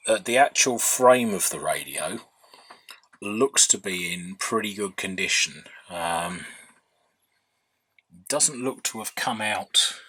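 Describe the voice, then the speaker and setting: A man's voice: low-pitched, bright, forceful tone, breathy edge. A man giving an unscripted, hands-on workbench narration as a hobbyist, hesitantly thinking aloud. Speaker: hobbyist radio restorer.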